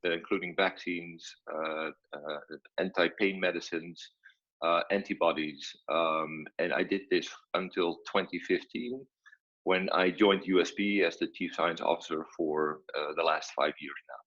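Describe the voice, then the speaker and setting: Dutch man in his fifties, non-native English, very low husky voice, measured with fillers, dull video-call audio. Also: a bit bright. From Netherlands. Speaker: guest scientist.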